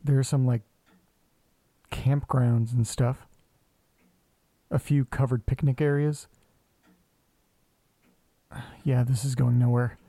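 Man acting a slow-talking, muttering everyday guy. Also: medium pitch, dry recording. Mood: puzzled, muttering. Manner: muttering to himself, casual, deadpan. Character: restless, sweary man talking to himself and his pets. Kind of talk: naturalistic horror audio drama monologue.

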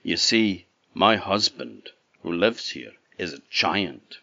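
A man voicing the big, soft-hearted wife of a giant who fusses and warns, in a storybook tale. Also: low voice, lively intonation, slow, gravelly, no room sound, lo-fi sound, heavily acted. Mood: wary. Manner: fussing, cautionary.

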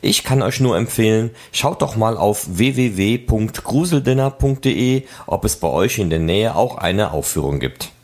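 A man's voice: lowish voice, expressive, normal speed, hardly any pauses, a little mellow. A man hosting a podcast, reading scripted narration. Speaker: podcast host.

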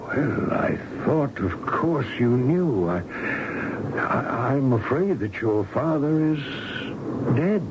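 An actor playing a courtly, unctuous clinic doctor in a 1970s radio drama, halting and theatrically polite. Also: male voice, mid-range voice, very animated. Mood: grave, consoling. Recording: dull, very noisy old radio broadcast.

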